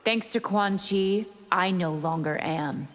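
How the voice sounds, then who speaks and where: Woman acting a slow, haughty vampire villainess. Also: mid-range voice, close to an everyday voice. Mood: cold menace. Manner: cool, threatening, disdainful. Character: haughty, deadly female vampire invader. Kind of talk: fighting game character banter. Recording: heavy background noise, long reverb.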